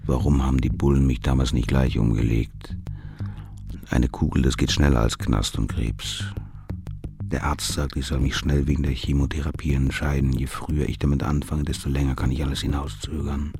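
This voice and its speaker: Bitter coarse dying convict, really deep flat voice, music under it, radio play. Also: male voice, normal speed, gravelly. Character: foul-mouthed, fearful, cynical convict with cancer. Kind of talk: radio drama inner monologue.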